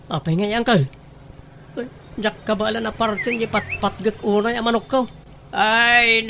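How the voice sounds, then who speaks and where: Guy doing an exasperated doting husband, radio comedy, fussy and questioning, noisy animal sounds behind. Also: high-pitched. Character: exasperated, doting husband fiercely protective of his rooster. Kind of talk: comedic radio drama acting.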